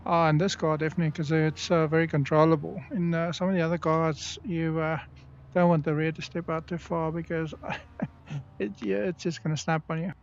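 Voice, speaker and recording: A man's voice: fairly high pitch, flat delivery, lo-fi sound. A man, streaming a sim race and casually explaining how the car handles while chatting with viewers. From South Africa. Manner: easygoing, explains car handling between pauses. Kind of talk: live-stream commentary while racing.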